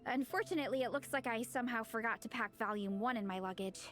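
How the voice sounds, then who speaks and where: Game role: encouraging, food-loving young female athlete voiced by a woman, high-pitched, enthusiastic, music behind. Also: fast talker, fairly bright tone, natural voice. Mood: friendly. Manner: upbeat, earnest, enthusiastic. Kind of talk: video game character acting.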